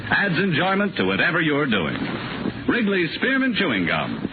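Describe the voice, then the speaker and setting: Male announcer, 1950s radio ad read, very lively pitch, emphatic, polished, narrow tinny audio. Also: moderate pace, noisy background. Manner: polished, emphatic salesman delivery. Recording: tinny vintage radio recording. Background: music.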